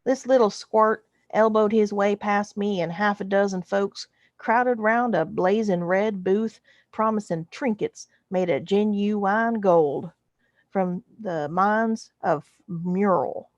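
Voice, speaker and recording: A woman's voice: medium pitch, slow pace, dry recording, dull audio, lightly acted. A woman voicing a wry, folksy alien drifter who narrates a space western story in an exaggerated Texas drawl. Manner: folksy, dry, colloquial. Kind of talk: first-person science fiction story narration.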